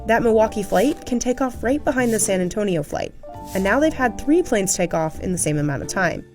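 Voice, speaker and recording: A woman's voice: mid-range voice, expressive, brisk. A woman reading scripted documentary narration in an explanatory manner. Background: background music.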